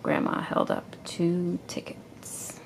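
A woman reading a children's picture book aloud to a child in an expressive storytelling way. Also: fairly low pitch, slow, vocal fry, in a whisper. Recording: noisy amateur home recording.

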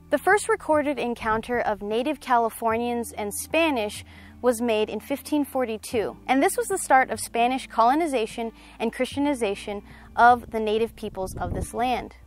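A young woman narrates a documentary in a fairly high voice. She presents in a measured, explanatory way, with music playing behind her. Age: young adult.

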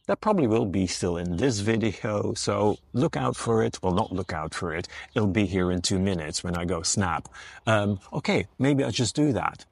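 A man's voice: fairly low pitch, lively intonation, normal speed, gravelly, breathy edge, no echo. A man in his fifties talking casually to the camera outdoors as a van life vlogger, in a soothing, reflective, chatty way. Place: outdoors by a parked van and a beach shelter.

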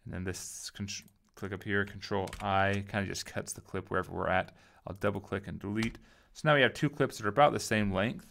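A man giving a casual software tutorial, informally thinking aloud as he goes. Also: fairly low pitch, fairly even intonation, brisk, vocal fry, a little hiss or hum. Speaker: tutorial host.

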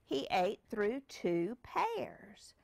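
An older woman reading a picture book aloud to a child, deliberate and pausing for the child to join in. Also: medium pitch, big pitch swings, gravelly, a little mellow, slight background noise. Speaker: storyteller grandmother reading to a child. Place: screened porch.

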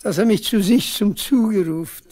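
A man's voice: high-pitched, measured pace, dark tone. An actor playing a proud, talkative old village father in a 1970s East German radio drama, wistful and emphatic. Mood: proud, touched. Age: older.

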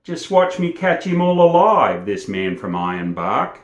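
A man plays a cocky little Sydney barber from a storybook ballad. He is sly and teasing, with lively swooping pitch. The room is echoey. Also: measured pace. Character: small, flashy, joking male Sydney barber. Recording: roomy indoor echo.

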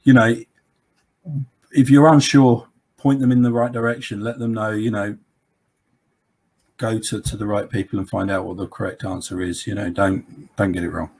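Low, husky man answering webinar questions. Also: fairly even intonation, moderate pace, pauses often, a little mellow. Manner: informal, rambling, many "you know"s. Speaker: expert guest speaker. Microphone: video call.

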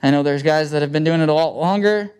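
Male farmer, Midwestern American accent, slightly high voice, smooth clear tone, informal presentation, plain-spoken, handheld mic. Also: quick pace, a little mellow. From United States. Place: indoor meeting room with seated audience. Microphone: handheld microphone.

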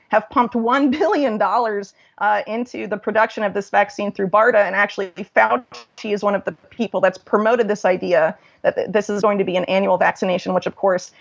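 A woman's voice: medium pitch, vocal fry, lo-fi sound. A woman being interviewed as a researcher, explaining her findings informally and at length.

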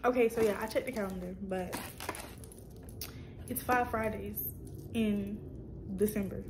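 A woman's voice: medium pitch, fairly even intonation, measured pace, noisy background. A young woman vlogging casually at home, chatting to her viewers and correcting herself as she goes. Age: young adult. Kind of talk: casual chat to camera. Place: small room at home, indoors.

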